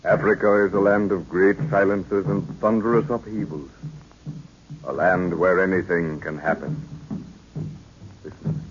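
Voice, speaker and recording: Low male voice, dramatic announcer, theatrical, solemn, scripted narration, old muffled radio recording. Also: fairly even intonation, a little slow, pauses often, slight background noise.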